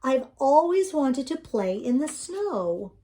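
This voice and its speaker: A woman's voice: high-pitched, sing-song, slow pace, dark tone, voice pushed up, exaggerated voice. A woman voicing a small, curious male toy bear with childlike wonder in a children's storybook reading. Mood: happy wonder. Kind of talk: children's storybook read-aloud.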